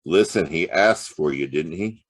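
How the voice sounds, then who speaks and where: A man's voice: a bass voice, a little slow, no echo, clean studio sound. An actor playing a world-weary, wisecracking private detective in a noir audio drama, with wry, clipped tough-guy delivery. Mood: wry, probing. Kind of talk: noir radio-play acting and first-person narration.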